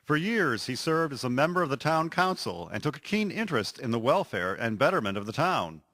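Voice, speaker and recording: A man narrating a scripted historical radio drama in a measured, expressive storytelling manner. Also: medium pitch.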